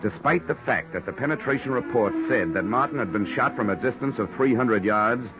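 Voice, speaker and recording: An American man acting a wry, hard-boiled insurance investigator in an old-time radio noir drama, deadpan and wisecracking. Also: medium pitch, fairly quick, lightly acted. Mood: wry, tense narration. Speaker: actor narrating and playing a detective. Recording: dull lo-fi old radio recording, music behind.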